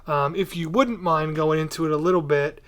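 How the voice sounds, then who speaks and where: A man's voice: on the high side, brisk, fairly bright tone. A man hosting a casual interview, asking in a polite, tentative, roundabout way. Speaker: podcast host.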